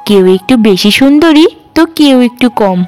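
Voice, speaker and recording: A woman's voice: on the high side, expressive, normal speed, darkish tone, clean tone, soft background music. A woman reading scripted narration for a cartoon moral story.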